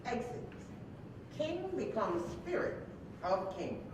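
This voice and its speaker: Female stage directions reader, scripted delivery, animated intonation, distant mic, noisy echoing hall. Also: on the high side, takes it slowly. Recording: very echoey hall, distant mic, clear noise.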